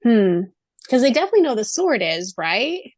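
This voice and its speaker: A woman's voice: fairly high pitch, big pitch swings, moderate pace, darkish tone, no room sound, clean studio sound. A woman co-hosting a podcast in a chatty, informal book discussion, giggly as she talks. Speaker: podcast co-host. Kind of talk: casual book discussion chat.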